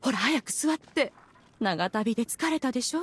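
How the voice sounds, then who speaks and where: A woman's voice: a little high, lively intonation, normal speed, breathy edge. A woman voicing a proud immortal lady who poses as a doting auntie in a video game, theatrical and mannered. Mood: doting, chatty. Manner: switches between doting feminine chatter and archaic haughty speech. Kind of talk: Japanese video game voice acting.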